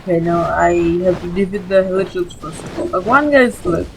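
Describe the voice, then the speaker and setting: A woman's voice: on the low side, moderate pace, vocal fry, background noise. A woman hosting a gaming video with casual, informal, rambling gameplay commentary, often saying "like". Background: game music.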